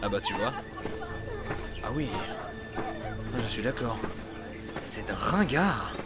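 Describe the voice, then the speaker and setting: Male actor, self-assured dimensional traveller, medium pitch, pompous musing, audio drama, loud music bed. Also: very animated, slow pace. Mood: wry. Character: self-assured, curious adventurer-scientist, dimensional traveller muttering to himself. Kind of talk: French audio drama acting.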